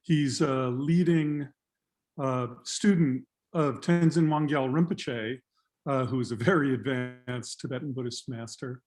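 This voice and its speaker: A man's voice: mid-range voice, a little mellow. Man introducing a guest speaker. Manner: measured, with frequent uh fillers. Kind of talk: formal introduction. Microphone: video call.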